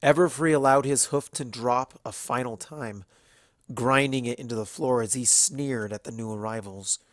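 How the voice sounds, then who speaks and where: Measured male storyteller, dry close sound. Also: mid-range voice, no room sound. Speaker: narrator. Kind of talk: scripted dramatic fiction narration.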